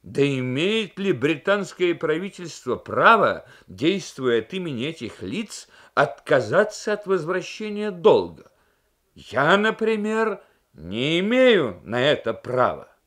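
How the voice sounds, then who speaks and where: A man voicing a forceful British prime minister in an emphatic, oratorical radio reading of a novel. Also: fairly high pitch, sing-song, slow pace, voice pushed up, exaggerated voice. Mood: indignant.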